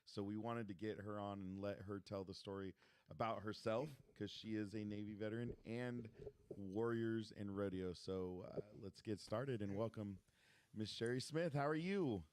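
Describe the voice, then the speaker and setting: A man co-hosting a podcast in casual chat, loud and joking. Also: lowish voice, moderate pace, clean tone. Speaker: podcast co-host.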